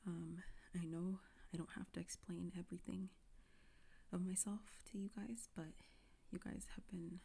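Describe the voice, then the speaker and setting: A young woman plays a self-pitying influencer who is close to tears. She speaks in a hushed, breathy whisper with a low, mellow tone and a very gravelly fry running through it. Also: steady tone, moderate pace. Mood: whispery, self-pitying. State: on the verge of tears. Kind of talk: parody apology video.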